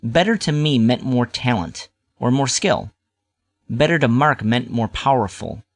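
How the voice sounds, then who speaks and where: A man's voice: medium pitch, lively intonation, a little slow. A man narrating a scripted, nostalgic first-person story as its storyteller.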